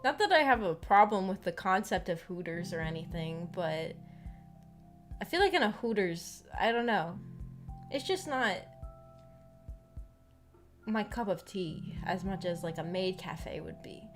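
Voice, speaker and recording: An American woman streamer chatting casually in a rambling, halting, giggly way. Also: lowish voice, lively intonation. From United States. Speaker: streamer, visual novel book club host. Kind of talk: casual livestream chat. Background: background music.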